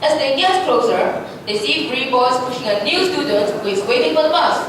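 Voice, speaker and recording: A young woman reads a scripted story aloud to an audience. Her voice is high and sounds far from the mic. The room echoes heavily, with noise behind her. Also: animated, moderate pace. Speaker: student narrator in readers' theatre. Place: large echoey indoor hall. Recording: echoey hall, distant mic, clear noise.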